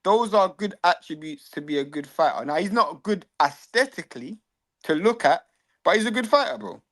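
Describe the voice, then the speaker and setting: A man's voice: high-pitched, animated, normal speed, a bit bright. A young man, co-hosting a boxing chat, arguing his points in a reasoned, teasing way. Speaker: boxing podcast co-host. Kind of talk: casual chat.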